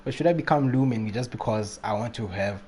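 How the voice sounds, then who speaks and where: A man's voice: on the low side, a little fast, a little mellow, a little hiss or hum. A man doing casual live game commentary and chatting with viewers in a playful, rambling, reactive way. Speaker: game livestreamer.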